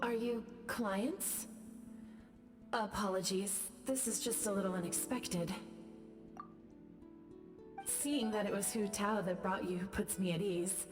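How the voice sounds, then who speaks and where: A patient, melancholy woman, voiced by a woman who has waited for a very long time, speaking in a soft, measured, wistful way at a middling pitch. Her voice rings out with a long reverb, and faint game music plays behind it. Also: steady tone, close to an everyday voice.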